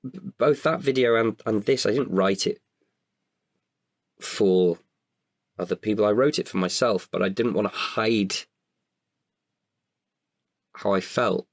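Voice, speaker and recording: A man with a creaky voice in a candid podcast conversation, speaking hesitantly and often correcting himself. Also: lowish voice, no echo, studio quality. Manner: hesitant, halting with self-corrections. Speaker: writer-performer guest.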